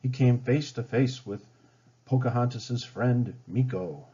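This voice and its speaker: A man's voice: mid-range voice, unhurried, warm tone, husky. A man reading a children's story aloud as a storybook narrator. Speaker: storybook reader. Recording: dull lo-fi home recording.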